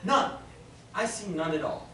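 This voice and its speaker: A man's voice: high-pitched, lively intonation, unhurried, pushed and bright, heavily acted. A man acting a pompous bank manager who is losing patience with a visitor, in a stage play. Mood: stiff, patient. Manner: stuffy, exasperated, prone to outbursts. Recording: roomy hall from far off, faint noise.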